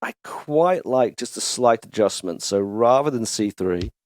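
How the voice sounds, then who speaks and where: A man hosting a casual music production tutorial, chatty and enthusiastic as he walks through software instruments in his home studio. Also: fairly low pitch, unhurried. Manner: relaxed, chatty, enthusiastic demonstrator. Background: instrument demo music.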